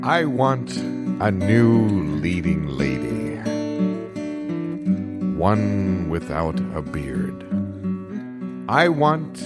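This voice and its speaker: A man playing an aggrieved stage actor making grand demands in an improv comedy, with a halting, dramatic delivery. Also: low voice, very animated, exaggerated voice. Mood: grandiose. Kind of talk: improv comedy audio theatre. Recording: guitar music behind the voice. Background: plucked guitar.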